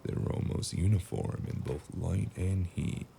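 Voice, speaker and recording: Man acting a very deep, gravelly noir stranger. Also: echoey room, slight background noise. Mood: brooding narration. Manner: deadpan, dry, unhurried. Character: weary, wry noir stranger narrating his investigation. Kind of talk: cyberpunk noir narration and dialogue.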